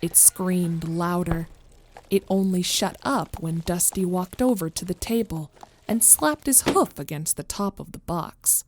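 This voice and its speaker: A woman's voice: lowish voice, a little slow, noisy background. A woman reading scripted narration of a horror story as the narrator.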